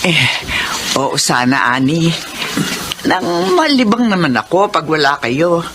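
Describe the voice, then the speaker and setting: A woman playing a kindly older mother figure in a radio drama, humble, soft-spoken and grateful. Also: deep voice, very animated, measured pace, noisy background, full character voice. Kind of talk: classic radio drama acting. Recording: radio drama with music beneath.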